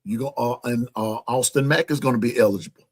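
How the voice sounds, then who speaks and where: A man's voice: mid-range voice, fast, breathy edge. A man co-hosting casual sports talk, starting his phrases hesitantly and repeating their openings. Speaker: sports talk show co-host. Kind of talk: casual live sports chat.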